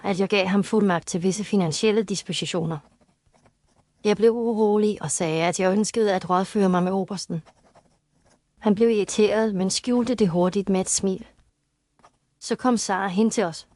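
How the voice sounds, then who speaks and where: Female, medium pitch, dry sound, actor as young injured heiress in an audio mystery, careful, uneasy, formal. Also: fairly even intonation, darkish tone, no room sound, close to an everyday voice. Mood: uneasy, recollecting. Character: injured young heiress, uneasy but clear-headed witness. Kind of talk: scripted audio story dialogue.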